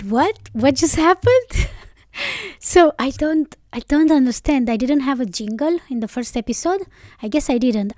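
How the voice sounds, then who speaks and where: A woman's voice: a little high, darkish tone, no room sound, clean studio sound. A woman from Romania, an English speaker, hosting her podcast in a reflective, rambling way that keeps correcting itself. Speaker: podcast host. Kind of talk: casual solo podcast reflection.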